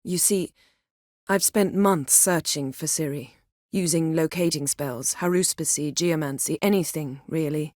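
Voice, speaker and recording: A woman voicing a proud, sharp-tongued sorceress in a video game, speaking in formal, crisp, imperious phrases with composure and wry wit. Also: deep voice, measured pace, no room sound, clean studio sound. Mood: measured, businesslike. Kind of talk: video game character dialogue.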